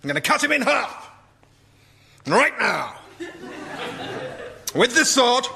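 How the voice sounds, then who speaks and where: A man's voice: very high-pitched, big pitch swings, slow pace, vocal fry, sharp tone, a little hiss or hum, full character voice. An actor playing a self-satisfied, petulant king in radio sketch comedy, argumentative and pompous. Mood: exasperated.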